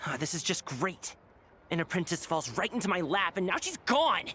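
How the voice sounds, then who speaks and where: A woman's voice: lowish voice, sing-song, moderate pace, fairly bright tone, faint music behind. A woman playing a brash, pushy, hot-tempered young male dancer in a video game, blunt and impatient. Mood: exasperated.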